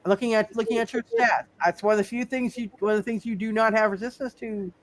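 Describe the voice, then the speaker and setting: A man with a high-pitched voice runs a tabletop roleplay game as game master. He talks casually and haltingly, often repeating phrases as he works out the next move. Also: steady tone.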